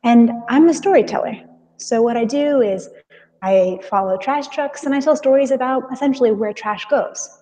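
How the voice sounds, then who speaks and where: A woman's voice: on the high side, normal speed, warm tone, rough recording. A woman in her twenties with a Californian American accent gives an informal, interactive presentation to students over a video call, in an enthusiastic, casual manner. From California, United States. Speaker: guest speaker, explorer and storyteller. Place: live video call with screen sharing.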